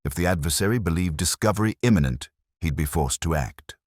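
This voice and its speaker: A man's voice: deep voice, animated, brisk, a little mellow, no room sound. A man reading a scripted first-person detective story as an audiobook narrator.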